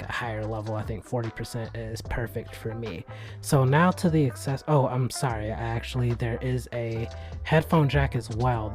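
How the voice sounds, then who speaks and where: Soft-spoken guy doing a chill tech review, pretty monotone, music playing behind. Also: medium pitch. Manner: relaxed, chatty, many fillers. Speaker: tech reviewer, channel host. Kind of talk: casual product review vlog. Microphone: USB desk microphone.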